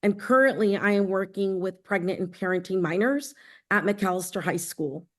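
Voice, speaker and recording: A woman's voice: mid-range voice, normal speed. A middle-aged woman from El Salvador, raised in Los Angeles, giving a campaign introduction as a candidate and teacher. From born in El Salvador, raised in Los Angeles. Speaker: school board candidate, teacher and union leader.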